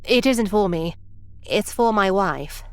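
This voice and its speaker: A prim, by-the-book veteran time traveler near retirement, formal in speech and quick to get irritated. Her voice sits at a middling pitch and is recorded dry and close. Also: lively intonation, clean tone, no echo. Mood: earnest. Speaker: senior time travel agent. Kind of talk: audio drama acting.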